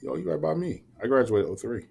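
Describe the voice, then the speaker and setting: A man in his thirties from Philadelphia chats as a podcast guest in a mid-pitched voice that swings up and down with energy. He tells slangy stories and acts out the voices of the people in them. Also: a little fast, gravelly, a little hiss or hum. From Philadelphia, USA. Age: 30s. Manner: animated storyteller, acts out quotes, slangy. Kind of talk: casual podcast chat.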